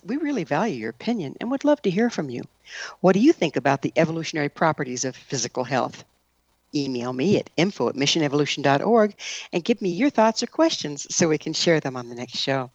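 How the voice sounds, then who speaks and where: A woman's voice: low voice, no room sound, muffled recording. A woman, hosting a radio interview show in a scripted broadcast style.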